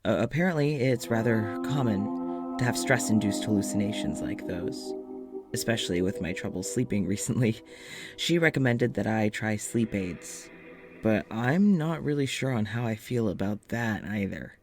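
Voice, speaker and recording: An actor playing an anxious, self-doubting male doctor in an audio drama, rambling haltingly and self-deprecatingly through a confessional monologue. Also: lowish voice, expressive, darkish tone, faint music behind. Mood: uneasy, reflective.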